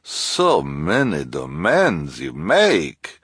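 A man's voice: extremely low pitch, huge pitch swings, extremely slow, fairly bright tone, husky, no room sound, studio quality, pitched above the performer's own voice, heavily acted. A man voicing a wheedling, theatrical female fortune-teller who speaks broken English with a strange foreign accent in an audiobook. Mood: coy, insistent. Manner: broken English, keeps adding "please".

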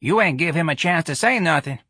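Man as an indignant, high-voiced scolding woman. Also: animated, fairly quick, sharp tone, breathy edge, dry recording, studio quality, heavily acted. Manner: scolding, folksy vernacular. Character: intense, indignant woman, choir spokesman among workers.

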